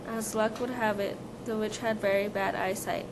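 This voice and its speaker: A woman's voice: mid-range voice, fairly even intonation, moderate pace. A woman reading scripted storybook narration aloud, occasionally stumbling on a word. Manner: reads aloud, stumbles on words. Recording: clearly noisy live recording.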